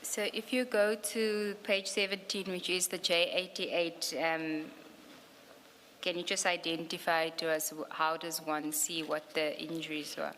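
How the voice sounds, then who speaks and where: A woman doing formal questioning at an inquiry, speaking haltingly as she works through documents. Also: fairly low pitch, little pitch movement, fairly bright tone. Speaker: evidence leader questioning a witness at a commission. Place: large hearing room. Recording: echoey hall, clear background noise.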